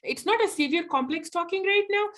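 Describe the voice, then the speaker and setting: A young woman with a Pakistani accent, a guest in a casual interview, talking quickly and leaning on fillers like "like, you know". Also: very high-pitched, fairly bright tone. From Afghanistan.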